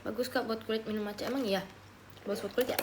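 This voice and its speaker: Young female livestreamer from Papua, relaxed chat, slightly high voice, faint room noise behind. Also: fast. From Papua, Indonesia. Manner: mixes English phrases into her talk; relaxed, chatty, answers questions as she reads them. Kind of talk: casual live chat reading viewer comments.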